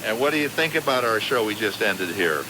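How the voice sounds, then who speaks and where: A man's voice: on the low side, expressive, fairly quick. A man hosting a live radio call-in show, questioning callers and repeating their answers back to them. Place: radio studio. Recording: noisy live radio broadcast.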